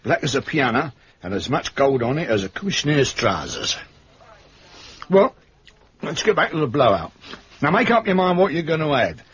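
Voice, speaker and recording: An actor playing a rough, cheeky working-class London manservant in a British detective radio drama. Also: male voice, on the high side, very animated, moderate pace, long pauses, a bit bright, heavily acted. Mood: cheeky, confiding. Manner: colloquial, wry. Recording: dull, lo-fi radio recording.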